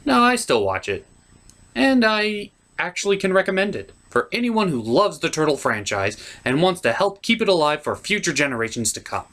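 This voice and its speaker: A performer voicing an opinionated puppet gentleman who hosts scripted comedy cartoon reviews in a blunt way. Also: medium pitch, big pitch swings, normal speed. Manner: blunt, exasperated, swears freely.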